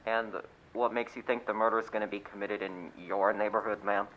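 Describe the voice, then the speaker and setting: Man acting a low-voiced, skeptical police desk officer, muffled. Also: steady tone, a little fast, a little hiss or hum, lightly acted. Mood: skeptical, patronising. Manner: patronising, skeptical, matter-of-fact. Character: unimpressed, placating male police desk officer. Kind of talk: suspense radio play acting.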